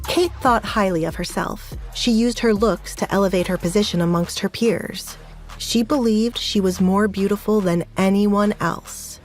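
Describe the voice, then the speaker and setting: A woman's voice: mid-range voice, measured pace. A woman reading scripted narration for an audio drama, with music playing behind her voice. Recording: music under the voice.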